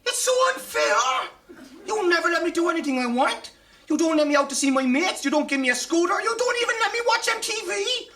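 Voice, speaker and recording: A sulky teenage boy, high and piercing, whining petulantly in a radio comedy. The pickup is a little distant, with some noise. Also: expressive, brisk, sharp tone, full character voice. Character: whiny sulky dim teenage boy, newly created son. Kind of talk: live sci-fi radio comedy acting.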